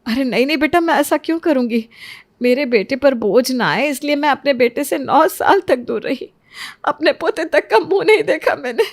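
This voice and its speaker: Woman playing elderly mother, devoted, earnest, pleading, cartoon family drama, raised pitch. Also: expressive, moderate pace. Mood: hurt, pleading. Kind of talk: Hindi cartoon story acting.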